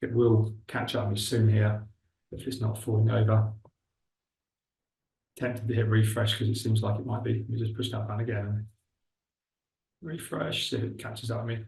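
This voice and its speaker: A man's voice: fairly low pitch, flat delivery, moderate pace, gravelly, pauses often, darkish tone, distant mic. A man with a Milton Keynes accent giving a casual tutorial, chatty and thinking aloud as he clicks through a demo. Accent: Milton Keynes English. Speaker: recruitment trainer. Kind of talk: casual screen-share tutorial. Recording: roomy echo, speaker away from the mic.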